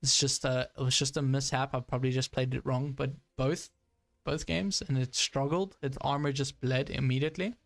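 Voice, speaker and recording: A man's voice: mid-range voice, fairly even intonation, moderate pace, creaky, no echo. A young man giving casual live commentary while playing a tank game, chatty with frequent fillers and frustrated asides. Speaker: gaming streamer.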